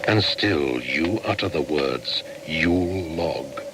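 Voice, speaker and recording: A man's voice: very deep voice, lively intonation, slow pace, heavily acted. An actor playing a solemn shaman, keeper of ancient laws, speaking portentously in a radio drama. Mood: solemn, admonishing. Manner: portentous, admonishing delivery. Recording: noisy atmospheric radio play track.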